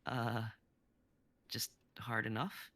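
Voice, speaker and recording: A man's voice: medium pitch, level tone, drawn-out words, fairly bright tone, no echo, pitched above the performer's own voice. A man playing a young man unsure of his powers. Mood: nervous. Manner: unsure, hesitant pleading.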